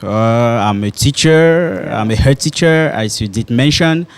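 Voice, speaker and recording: A man's voice: mid-range voice, a little slow. A man from Cameroon with a French-tinged Cameroonian accent, answering politely and conversationally as an interview guest. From Cameroon, raised in Douala. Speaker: guest, head teacher and actor. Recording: music in the background. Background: music.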